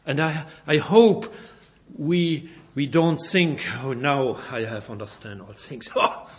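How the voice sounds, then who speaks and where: Belgian man preaching in careful English. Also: fairly high pitch. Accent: Belgian German. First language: German. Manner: halting non-native English, repeats and self-corrects words. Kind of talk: sermon with scripture reading.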